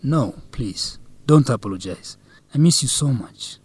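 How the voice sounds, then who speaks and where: Husky, earnest young boyfriend. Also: male voice, medium pitch, animated, a little slow, dry recording. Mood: apologetic, longing. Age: young adult. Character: Zegai, wounded, earnest young student boyfriend. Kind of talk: scripted radio drama acting.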